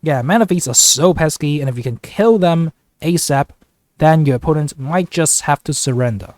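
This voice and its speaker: Man, flu-congested voice, slightly high, upbeat chatty gaming commentary, dry close mic. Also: lively intonation, normal speed, a little mellow, no echo. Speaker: gaming YouTuber. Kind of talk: casual gameplay commentary.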